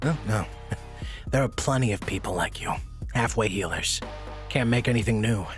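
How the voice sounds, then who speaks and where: A man playing a tough, cool and dangerous character in an audio drama, with a measured delivery. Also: fairly low pitch, soft background music, close to an everyday voice. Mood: cool taunting. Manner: measured, taunting.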